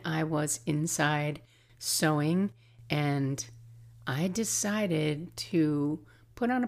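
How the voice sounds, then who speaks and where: An older woman hosting a podcast, telling personal stories in a casual, reflective, confiding and expressive way. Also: sits low, vocal fry. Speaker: podcast host.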